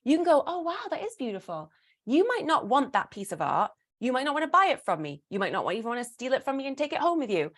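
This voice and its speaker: A woman's voice: high-pitched, very animated, fast, few pauses, a bit bright. A British woman speaking as an interview guest, talking emphatically and acting out imagined bits of dialogue as she goes. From Britain. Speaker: guest, performer and burlesque teacher. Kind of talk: animated conversational interview talk.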